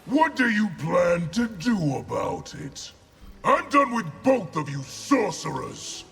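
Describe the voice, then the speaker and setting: A man's voice: high-pitched, big pitch swings, takes it slowly, vocal fry, fairly bright tone, husky, exaggerated voice. A man playing a defiant, vengeful fighter in a video game, with a blunt, combative delivery. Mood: defiant anger. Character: defiant, angry fantasy warrior, blunt and vengeful. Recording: game dialogue with music behind.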